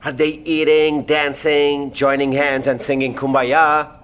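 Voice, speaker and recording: An actor playing an exacting, easily frustrated doctor in an audio drama, with an insistent, fussy manner. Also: male voice, a little high, level tone, measured pace, fairly bright tone, clean tone. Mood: impatient, sarcastic. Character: impatient, exacting male doctor, sarcastic under frustration.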